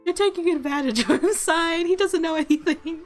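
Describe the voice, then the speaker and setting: A woman's voice: very high-pitched, brisk, music in the background. A woman giving casual let's play commentary on a game, reading bits aloud, with flustered, giggly reactions. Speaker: video game streamer.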